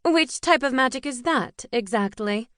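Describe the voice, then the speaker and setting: A woman voicing a stern, imposing older woman teacher of witches, speaking in a formal, crisp and commanding way. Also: sits high, lively intonation, normal speed, fairly bright tone, dry recording, studio quality, voice pushed up. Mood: composed.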